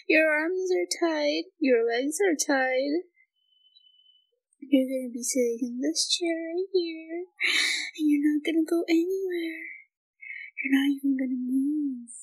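A young woman playing a shy, obsessive, possessive yandere student girl in an intimate audio roleplay, with a breathy, girlish voice and a clingy, giggly manner. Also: high-pitched, poor audio. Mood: controlling, excited. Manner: slow, giggly, clingy delivery. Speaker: amateur voice actor.

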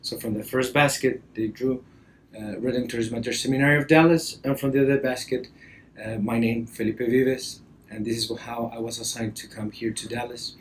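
A man's voice: mid-range voice, fairly even intonation. Male priest, 30s, Costa Rican accent, mid-range voice, hesitant talk to camera, faint background noise. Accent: Costa Rican Spanish. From Costa Rica. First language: Spanish. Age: early 30s. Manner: hesitant, halting delivery with frequent pauses. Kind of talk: personal introduction to camera. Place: indoor office or library, seated at a desk.

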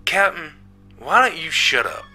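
A man acts out a spiteful, mutinous spaceman in a science fiction drama. He is sardonic and goading, with a sharp, forceful edge. Faint music runs behind. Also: a little high, sing-song, measured pace, voice pushed up, heavily acted. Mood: defiant, scornful. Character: bitter, mutinous male spaceman, taunting and spiteful.

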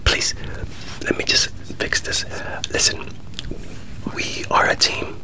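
A man in his thirties whispers right up close to the microphone. He is unhurried and coaxing, soothing and a little apologetic, as an ASMR performer. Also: poor audio. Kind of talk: whispered ASMR puppet skit.

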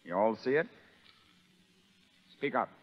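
An actor playing a sadistic, vengeful prison sergeant who taunts and barks orders in a 1930s radio drama. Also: male voice, mid-range voice, lively intonation, slow. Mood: furious, bullying. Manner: menacing, taunting, barking orders. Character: sadistic, vengeful male prison sergeant bullying new convicts. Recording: narrow muffled 1930s radio broadcast, reverberant.

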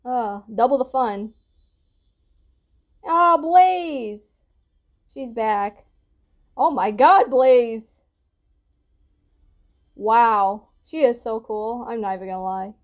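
A woman's voice: on the high side, extremely slow, long pauses, highs cut off. A young woman giving casual reaction commentary as she hosts a video, recorded indoors in a small room. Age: young adult.

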